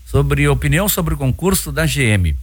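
A man's voice: on the high side, big pitch swings, moderate pace, breathy edge, no room sound. A man with a Brazilian accent hosting a radio talk show, chatty and full of filler words.

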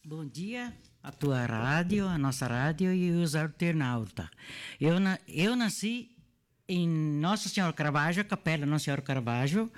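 A woman's voice: low-pitched, animated, normal speed. An older woman from Brazil, a guest in a radio studio, answering with short, repeated affirmations. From Rio Grande do Sul, Brazil. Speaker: interview guest.

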